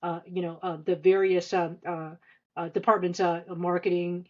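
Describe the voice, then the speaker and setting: A woman in her fifties answering interview questions conversationally, with halting, hesitant speech full of stammered restarts. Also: on the low side, flat delivery, highs cut off. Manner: halting, hesitant delivery with frequent stammered repeats and long filler runs. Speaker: podcast guest, senior finance executive.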